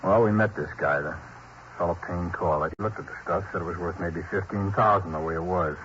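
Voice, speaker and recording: A bitter, grumbling crook in a vintage radio crime play, talking tough slang in a lowish voice. The old broadcast sounds dull and lo-fi with a faint hiss underneath. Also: male voice, a little fast, a bit bright. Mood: sour. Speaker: arrested suspect. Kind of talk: 1950s radio crime drama acting.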